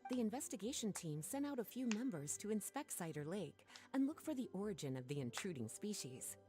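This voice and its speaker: Woman doing a languid librarian, teasing, game voice, dark mellow tone, lively intonation. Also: mid-range voice, fairly quick, soft background music, lightly acted. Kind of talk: video game voice acting.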